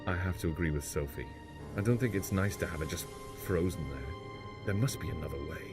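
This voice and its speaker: A man's voice: deep voice, a little fast, over music. A man playing a guarded, blunt travelling companion in a sci-fi audio drama. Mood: concerned. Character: guarded, plain-spoken male travelling companion.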